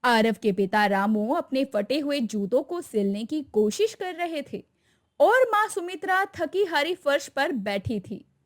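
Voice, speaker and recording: A woman's voice: sits high, expressive, unhurried. A woman narrating a dramatic scripted story as the storyteller.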